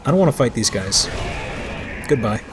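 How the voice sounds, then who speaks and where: A man's voice: mid-range voice, animated, moderate pace. A man giving casual, joking let's play commentary with dry wordplay as he plays. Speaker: let's play host playing the game. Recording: game music behind commentary. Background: video game music.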